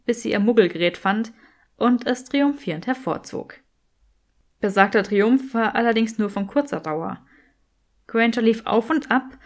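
A woman reading scripted fiction as an audiobook narrator. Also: on the high side.

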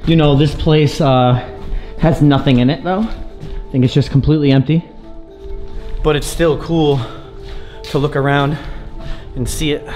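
A young man in his twenties talking excitedly to a handheld camera as he explores an abandoned sea fort, thinking aloud. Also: fairly high pitch, a little slow, long pauses, faint music behind. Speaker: urban explorer vlogger. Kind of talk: casual handheld vlog narration. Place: inside an abandoned sea fort, concrete corridors and rooftop.

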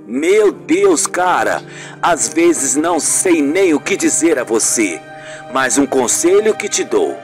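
Amateur acting of an earnest, advising male friend. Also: medium pitch. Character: earnest male friend giving firm advice. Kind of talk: amateur radio soap opera acting.

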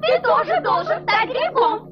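Squeaky little singing toadstools. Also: female voice, sing-song, unhurried, exaggerated voice. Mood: cheerful, sing-song. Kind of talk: sing-song rhyming cartoon chorus. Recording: muffled soundtrack, very loud music.

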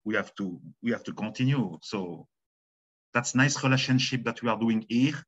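Halting Swiss French man on a call. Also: medium pitch, fairly bright tone, husky, muffled recording. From Switzerland. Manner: halting, repeats words while searching for English. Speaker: community meeting co-host. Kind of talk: online meeting chat. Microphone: video call.

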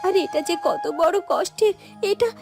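A woman's voice: extremely high pitch, lively intonation, gravelly, over music, full character voice. A woman voicing a tender-hearted, sorrowful young orphan in a cartoon, speaking in an earnest, emotional way. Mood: sorrowful sympathy. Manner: earnest, emotional, halting repetitions. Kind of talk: Bengali fairy-tale cartoon acting.

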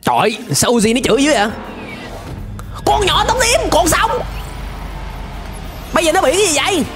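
A young man with a Southern Vietnamese accent hosting a reaction video with excited, exclamatory commentary. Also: extremely high pitch, moderate pace. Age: young adult. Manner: exclamatory, animated. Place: indoor room, gaming setup. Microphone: close desk microphone. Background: music and cartoon soundtrack.

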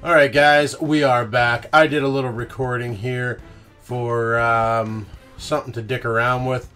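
A man's voice: medium pitch, little pitch movement, unhurried, bright, forceful tone, smooth, faint music behind. A man hosting a casual screen-recorded tech tutorial, talking informally with slang and mild swearing. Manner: informal, slangy and mildly profane. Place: indoor voice-over of a screen recording.

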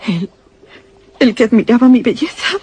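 A defiant, passionate daughter in love in a suspense radio drama. Her Castilian Spanish is high-pitched and melodramatic, swinging widely with indignation. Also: lively intonation, moderate pace, darkish tone, slightly rough. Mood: bitter, determined.

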